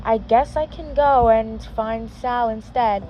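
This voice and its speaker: A woman voicing a teasing, excitable young man in a zombie story read aloud. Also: fairly high pitch, takes it slowly, muffled recording, a little hiss or hum. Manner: teasing, casual, profane.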